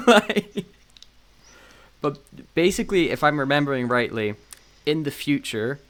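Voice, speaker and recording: A man with a medium-pitched voice talks casually as a podcast co-host. He is wry and jokey as he gives his opinions. Laughter comes easily to him. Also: a bit bright. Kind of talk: casual chat reviewing audio dramas.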